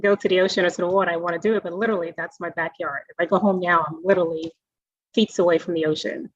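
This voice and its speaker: A woman's voice: on the low side, fairly even intonation, dry recording. Bahamian-raised woman on a dull video call. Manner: friendly, measured, frequent fillers. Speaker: guest, self-directed IRA specialist. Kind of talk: conversational interview answers. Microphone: video call mic.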